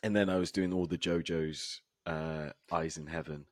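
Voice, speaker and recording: A man with a hoarse, husky voice co-hosting a casual podcast and laughing often between remarks. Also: sits low, fairly even intonation, moderate pace, no room sound, clean studio sound. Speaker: podcast co-host. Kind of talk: casual chat.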